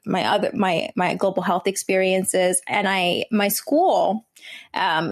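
A young woman in her twenties with a New Jersey accent and a slightly hoarse voice, talking casually as a podcast guest, with many fillers and restarts. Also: mid-range voice. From New Jersey, USA. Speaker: guest, medical student. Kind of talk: podcast interview.

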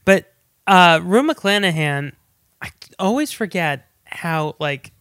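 A podcast co-host in a casual chat, playful and quick to laugh. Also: medium pitch, animated, fairly bright tone, smooth, no echo, studio quality.

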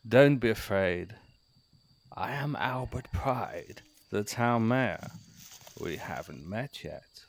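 An actor playing a pompous small-town mayor who leads a secret lodge, theatrical and commanding but prone to fussiness, in comedy-horror audio drama. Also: male voice, lowish voice, lively intonation, slow, creaky, a little hiss or hum, heavily acted. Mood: solemn, grandiose. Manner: theatrical, commanding, then awkwardly fussy.

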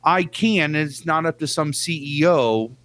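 A man's voice: on the high side, measured pace, a bit bright. A man co-hosting a podcast in casual chat, emphatic and loose, swearing casually and filling with "like" and "you know". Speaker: podcast co-host.

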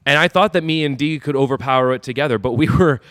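A man's voice: medium pitch, fast, fairly bright tone, dry recording. A man being interviewed on a podcast as a wrestler, talking in a cocky, boastful heel manner. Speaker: wrestler guest.

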